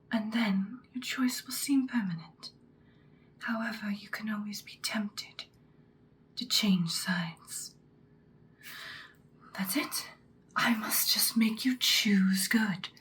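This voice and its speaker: Woman playing a slow whispering vampire mentor. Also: medium pitch, a little hiss or hum, close to an everyday voice. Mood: hushed, intrigued. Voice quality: soft breathy whisper. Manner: slow, deliberate, instructing, ominous. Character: ancient-seeming female vampire mentor, commanding, once ruthless, now good. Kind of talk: whispered ASMR roleplay.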